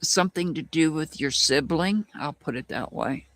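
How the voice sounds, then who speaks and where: A tarot reader with a Southern US accent, giving live-stream readings and answering chat in a slow, musing way with frequent restarts. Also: medium pitch, a bit bright, no echo.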